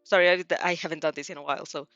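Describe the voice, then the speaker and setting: Woman reading fanfic out loud, giggly n hesitant, keeps correcting herself, kinda dull dry lo-fi mic. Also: low voice, steady tone, rapid-fire, no echo, soft background music. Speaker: host of fanfiction reading show. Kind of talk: casual chat and fanfiction reading aloud.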